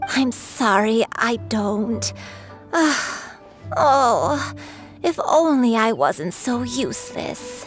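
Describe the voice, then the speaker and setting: Slow, creaky gentle old granny. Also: female voice, fairly high pitch, sing-song, faint music behind, exaggerated voice. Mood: apologetic. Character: gentle, forgetful old granny, apologetic and wistful. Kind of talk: video game voice acting.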